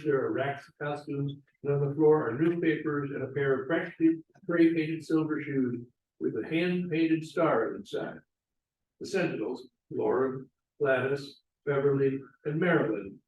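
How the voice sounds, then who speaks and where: A man's voice: a little high, moderate pace, a little mellow, highs cut off. A man reading stage directions aloud as scripted narration. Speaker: stage directions reader at a play table read. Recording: echoey room, distant mic.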